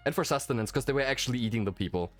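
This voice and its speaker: A man's voice: mid-range voice, expressive, racing. Rambling, enthusiastic man, native German speaker, music behind. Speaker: game master and host. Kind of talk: casual post-game chat. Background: faint background music.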